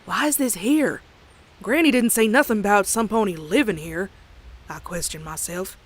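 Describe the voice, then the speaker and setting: A woman's voice: medium pitch, moderate pace, close to an everyday voice. A woman voicing a plucky young country farm pony in a horror comic dub, with a folksy Southern US drawl. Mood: somber, uneasy. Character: young country farm mare, plucky, teasing, later uneasy narrator. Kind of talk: dramatic comic dub with narration.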